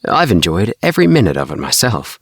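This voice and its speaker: A man's voice: deep voice, wildly swooping pitch, fast, vocal fry, slightly rough, dry recording, clean studio sound, voice pushed up, exaggerated voice. A man voicing a cheery, talkative young male space traveller in an audiobook, with a friendly, eager manner. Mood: cheery, chatty. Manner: chirpy, friendly.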